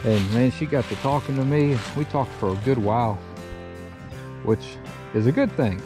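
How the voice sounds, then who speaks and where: A man's voice: medium pitch, moderate pace, music in the background. A man, chatting casually through a fishing vlog outdoors on a chest-mounted action camera. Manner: relaxed drawl, chatty, says y'all. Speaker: fishing vlogger. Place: outdoors by a pond and river bank.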